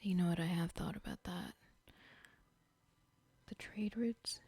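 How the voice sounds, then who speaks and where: A woman's voice: lowish voice, unhurried, a little mellow, slightly rough, no echo. A woman voicing a calculating female cartel boss in an ASMR roleplay, whispering breathily and plotting aloud. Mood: scheming whisper.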